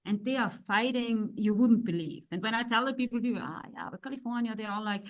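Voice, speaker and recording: A woman talks as a guest in a casual interview, her voice a little high. She gives her views at length in a rambling way. She often breaks off and restarts her sentences. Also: fast, muffled recording. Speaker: guest, wife of the other guest.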